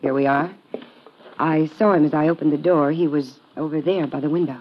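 An actress playing a plucky, wry young farm woman with an American accent in an old-time radio drama, plain-spoken and quick with quips. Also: low-pitched, steady tone, a little hiss or hum, close to an everyday voice. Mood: wry, casual. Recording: muffled classic radio recording.

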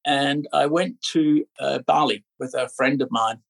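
A middle-aged man born in Scotland and raised in Australia, a podcast guest chatting in a relaxed, rambling way with many fillers. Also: medium pitch, unhurried, creaky. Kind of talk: casual interview chat.

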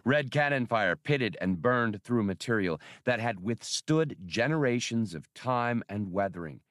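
A man's voice: mid-range voice, animated, measured pace, a bit bright, dry recording, studio quality. A man reading scripted narration from a science fiction adventure novel as an audiobook narrator.

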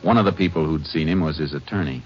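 Laconic American Ranger investigator, very low, dry, terse delivery, vintage radio drama with dull sound and music. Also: male voice, fast talker. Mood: probing, cool. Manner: terse, dry, matter-of-fact. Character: laconic, methodical Texas Ranger investigator and narrator. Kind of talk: 1950s radio drama acting and first-person narration. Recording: dull old radio recording, music behind.

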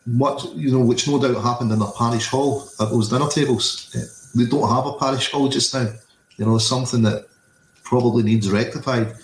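A man's voice: medium pitch, fairly even intonation, normal speed. A Scottish man co-hosting a casual football podcast over a video call. He rambles and repeats himself, with lots of you-knows. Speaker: podcast co-host. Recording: echoey room with faint ambience.

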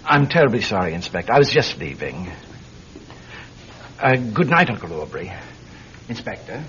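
A man acting in a radio drama as a polite, inquisitive young news writer with a courteous, lively manner. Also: fairly low pitch, moderate pace, a little hiss or hum. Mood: apologetic. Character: polite young British newsman, the sergeant's inquisitive nephew. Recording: dull radio drama recording.

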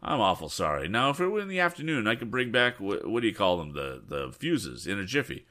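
A man's voice: fairly low pitch, sing-song, brisk, bright, forceful tone, pitched above the performer's own voice. A man voicing a folksy, apologetic, stubborn mule driver from a storybook, with a hesitant, rambling dialect.

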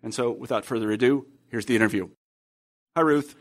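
A man's voice: mid-range voice, brisk. An American man from the Midwest with a general American accent, hosting a history book interview in a conversational way with many fillers and restarts. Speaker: podcast host. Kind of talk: interviewing an author.

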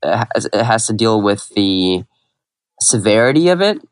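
Young guy from iraq telling his story, lowish voice, dry close sound, lots of restarts. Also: big pitch swings, normal speed, no room sound. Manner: reflective, with frequent restarts and fillers. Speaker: guest. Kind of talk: personal storytelling in an interview.

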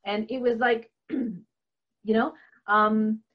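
A woman's voice: a little high, steady tone, takes it slowly. Chatty woman, first language Chinese. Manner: earnest and chatty, laughs often, many fillers. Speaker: guest, holistic doctor and author. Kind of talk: conversational interview answer. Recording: slightly dull, lo-fi audio.

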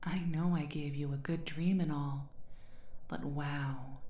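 A woman voicing a sweet, loyal succubus servant girl in an ASMR roleplay, soft, breathy and deferential. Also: low voice, fairly even intonation, takes it slowly, echoey room. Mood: sweet teasing.

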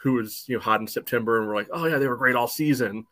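A man with a mid-pitched voice talks through sports as a podcast co-host. His delivery is casual and chatty, padded with frequent "you know" as he explains things. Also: fast, crowd noise.

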